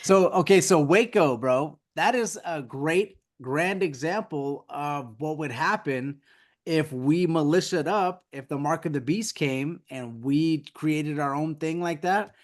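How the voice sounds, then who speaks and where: A man's voice: on the high side, measured pace. A man in his forties, hosting a casual podcast chat. He talks loosely and leans on lots of fillers. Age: 40s. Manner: loose, filler-heavy talk.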